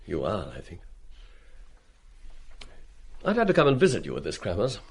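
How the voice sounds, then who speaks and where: An actor in a radio play as a kindly, earnest science master, politely and gently persistent. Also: male voice, sits low, wildly swooping pitch, exaggerated voice. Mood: concerned. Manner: warmly insistent, brisk and polite. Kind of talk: radio drama acting.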